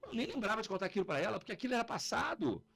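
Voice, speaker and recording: A Brazilian man chats casually on a podcast, telling anecdotes in slangy, informal speech. His voice is fairly high and very lively in pitch, and he moves quickly as he acts out both sides of a conversation. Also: creaky, slightly rough. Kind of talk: casual animated storytelling chat.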